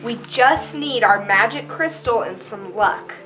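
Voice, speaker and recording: Bright, high-pitched earnest fairy played by a woman. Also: animated, a little slow. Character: earnest, excitable crystal flower fairy. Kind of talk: amateur children's readers' theater acting.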